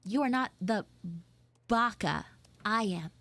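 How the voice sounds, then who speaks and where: A woman's voice: mid-range voice, lively intonation, slow pace, fairly bright tone, no echo. A young woman playing a flustered, pleading tsundere schoolgirl in a live roleplay, rambling and stammering dramatically. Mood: embarrassed, confessing. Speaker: live audio roleplay streamer. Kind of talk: improvised live character roleplay.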